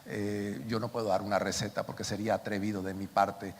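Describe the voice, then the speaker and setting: A man's voice: fairly low pitch, little pitch movement, fairly quick. An older man, an invited speaker answering audience questions in an emphatic, explanatory way. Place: large conference hall. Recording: echoey hall, background noise.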